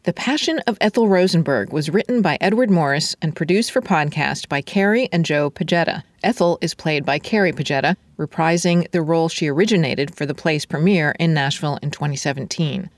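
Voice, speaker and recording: Woman reading a measured epilogue. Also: deep voice, no room sound, studio quality. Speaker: narrator. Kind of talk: scripted narration.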